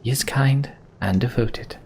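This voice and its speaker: A man voicing an earnest, devoted sorceress in a fantasy video game, measured and sincere. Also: mid-range voice, creaky, darkish tone, slight background noise. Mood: earnest, tender. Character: earnest, devoted female mender sorceress, solemn.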